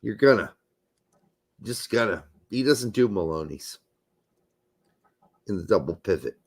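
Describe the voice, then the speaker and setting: A man co-hosting a soccer podcast, emphatic and argumentative, hoarse from losing his voice. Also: on the low side, lively intonation, measured pace. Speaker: podcast co-host. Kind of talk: casual soccer talk.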